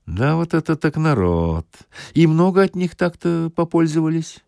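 A man's voice: fairly high pitch, very animated, normal speed, gravelly, a little mellow, smooth, no room sound, studio quality, voice pushed up. Man acting a nosy rustic watchman, swooping intonation. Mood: eager, amazed. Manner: colloquial rustic speech, eager questioning. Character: curious, greedy, credulous village railway watchman. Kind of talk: audiobook dramatization of a satirical story.

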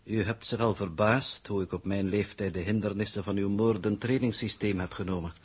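An actor playing an older, enigmatic and coolly logical space coordinator in a 1960s Dutch radio drama, formal and measured. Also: male voice, fairly low pitch, steady tone, husky.

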